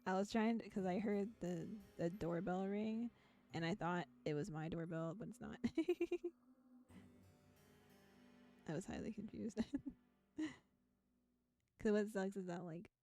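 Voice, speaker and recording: A young adult woman streaming in casual chat, with a quiet but clear voice, laughing often between her sentences. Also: medium pitch. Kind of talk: casual chat and reading a visual novel aloud.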